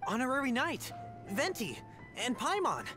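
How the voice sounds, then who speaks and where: Actor playing an eager, animated young lad adventurer. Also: high voice, moderate pace. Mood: excited, grateful. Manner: bright-eyed, sincere, polite. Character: earnest, eager young male novice adventurer. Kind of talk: video game voice acting. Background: game music.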